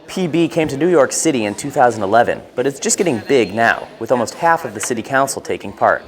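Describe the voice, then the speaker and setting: A man's voice: medium pitch, animated, fast. A man with an American accent reading a scripted news report as a reporter and anchor.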